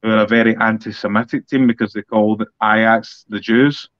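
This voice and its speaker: A man's voice: lowish voice, steady tone, a little fast, no echo. A man with a Scottish accent in a casual political discussion, talking bluntly and emphatically and repeating points for effect. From Scotland. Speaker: political podcast co-host. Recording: dull, dry lo-fi audio.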